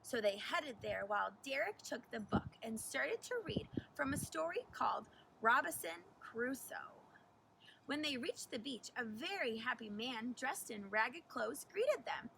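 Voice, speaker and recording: A woman narrates a children's picture book in a high, bright voice with animated, deliberate delivery. She is a little far from the mic, with faint noise behind her. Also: vocal fry. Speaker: teacher reading aloud. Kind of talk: expressive children's storybook narration.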